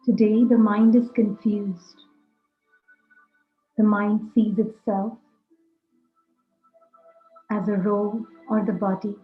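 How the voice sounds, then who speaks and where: A woman leading a guided meditation talk, speaking deliberately in short, measured phrases. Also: mid-range voice, level tone. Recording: roomy echo, dull lo-fi sound, music. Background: music.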